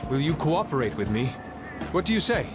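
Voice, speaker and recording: A man's voice: on the high side, very animated, fairly quick. A man playing an earnest, intense teenage art student with a formal manner in an anime game. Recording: loud music behind.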